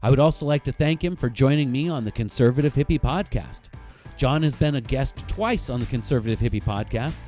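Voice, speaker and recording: A man's voice: mid-range voice, animated, brisk, a little mellow. A man hosting a podcast, delivering a casual promotional announcement with guitar music behind him. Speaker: podcast host.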